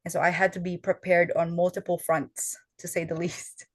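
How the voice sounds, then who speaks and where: A woman's voice: lowish voice, little pitch movement, moderate pace, no echo. A young woman whose first language is Tagalog, earnestly explaining her oral history work in a conversational interview, with some fillers. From Filipino family.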